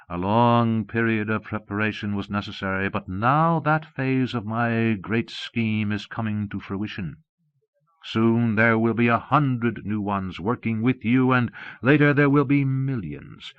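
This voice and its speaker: A man's voice: on the low side, smooth, no echo, close to an everyday voice. Dull-sounding, imperious disembodied mastermind played by a man. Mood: coaxing, grandiose. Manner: commanding, slow and emphatic, formal. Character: Fury, imperious, menacing disembodied mastermind, coldly possessive. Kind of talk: audiobook character dialogue. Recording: dull lo-fi cassette audiobook.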